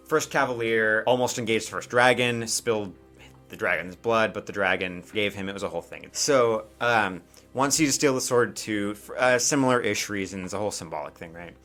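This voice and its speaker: A man with a fairly low, forceful voice serves as game master for a tabletop game. He improvises his narration in an informal, halting way, often breaking off into asides.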